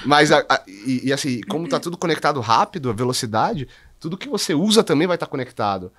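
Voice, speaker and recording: Fast, informal Brazilian man chatting. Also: a little high, very animated, a crowd in the background. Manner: informal, slangy, rambling asides. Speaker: podcast guest. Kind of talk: casual chat.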